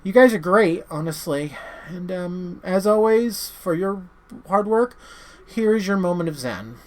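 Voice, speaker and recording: A man's voice: high voice, expressive, measured pace. A man hosting a casual, unscripted review, rambling and often stammering and interrupting himself as he talks. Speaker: host of a horror movie review channel.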